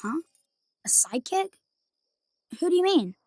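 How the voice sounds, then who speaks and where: A woman's voice: very high-pitched, big pitch swings, measured pace, a little mellow, soft background music, exaggerated voice. A woman voicing an innocent, polite young boy hero with an American accent in a fantasy game. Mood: unsure. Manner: naive, questioning, earnest. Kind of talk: animated fantasy game voice acting.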